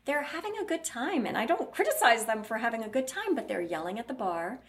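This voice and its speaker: A woman's voice: a little high, sing-song, brisk. A woman voice clinician answering interview questions bluntly, explaining at length and acting out what her patients say.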